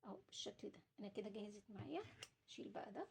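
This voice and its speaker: A woman's voice: mid-range voice, animated, racing. A woman with an Egyptian Arabic accent giving a casual, chatty cooking demonstration, explaining things directly to her viewers. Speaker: home cooking vlogger. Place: at home while preparing food. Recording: clearly noisy home recording with clicks.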